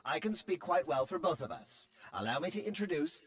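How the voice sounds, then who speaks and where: An actor voicing a chatty droid in a video game, prim and cheerfully tactless. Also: male voice, high-pitched, expressive, brisk, husky.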